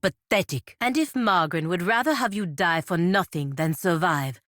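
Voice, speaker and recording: An actress playing a proud, sardonic fantasy warrior-diplomat in a video game, speaking formally and with dry scorn. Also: female voice, on the low side, expressive, normal speed, a bit bright, no echo. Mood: stern, contemptuous. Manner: formal, clipped, dryly scornful. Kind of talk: video game character dialogue.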